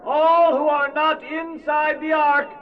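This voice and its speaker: An actor playing an earnest, fervent preacher warning of doom in a solemn, declamatory Bible radio drama. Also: male voice, very high voice, flat delivery, slow pace. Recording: muffled old cassette, distant, faint hiss.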